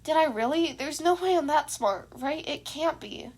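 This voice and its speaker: A woman's voice: high-pitched, expressive, moderate pace. A woman voicing an anxious, self-doubting teenage boy student who questions things, in an audiobook. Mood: doubtful. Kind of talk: fan fiction audiobook character voice.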